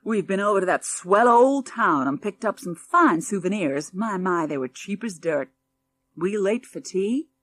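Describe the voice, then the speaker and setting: A woman's voice: mid-range voice, expressive, measured pace. A woman voicing a burly, jovial American father in a storybook, with an American accent and a hearty, folksy manner.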